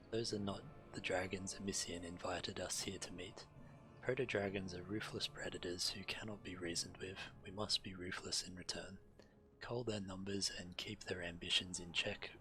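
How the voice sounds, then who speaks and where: A man's voice: low voice, poor audio. A man streaming a video game, reading quest text aloud over game music.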